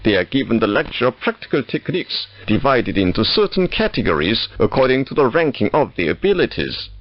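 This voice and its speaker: Guy reading state radio news, slow and formal, big swoopy pitch, muffled shortwave sound. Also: very animated, no room sound. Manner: slow, measured delivery with stately emphasis.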